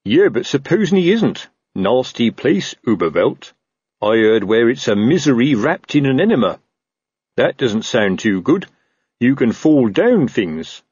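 A man's voice: medium pitch, sing-song, a little mellow, breathy edge, dry recording, lo-fi sound, pitched above the performer's own voice. Man as a miserable, moaning police sergeant. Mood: anxious. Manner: moaning, halting, rambling explanations. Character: anxious, miserable, long-suffering male police sergeant.

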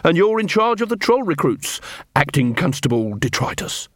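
A man as an earnest, cheerful young corporal, pitched up. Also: sing-song, a bit bright, dry recording. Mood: brisk, cheerful. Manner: polite, brisk, by-the-book.

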